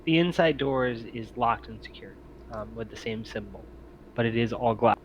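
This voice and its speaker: A man acting as game master, describing scenes and giving rulings in a measured, explanatory way with pauses. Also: mid-range voice. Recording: dull home recording, background noise.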